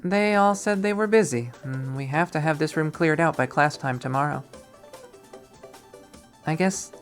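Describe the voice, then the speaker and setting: A man voicing a sweet but scheming young college woman, coy and swooping up high as he reads a visual novel. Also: a little slow, faint music behind. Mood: plaintive.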